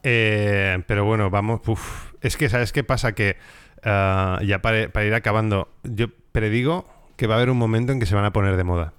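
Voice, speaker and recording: A man's voice: on the low side, steady tone, normal speed, fairly bright tone, clean tone, dry recording. A man hosting a podcast in casual, rambling chat, joking a lot and filling his talk with hesitations. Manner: rambling, jokey, many fillers. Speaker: podcast host.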